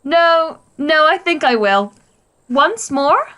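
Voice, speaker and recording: A woman's voice: sits high, very animated, slow pace, sharp tone, heavily acted. A woman playing the blunt, exasperated wife of a superhero in a radio play, pointed and reproachful. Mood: dry, probing.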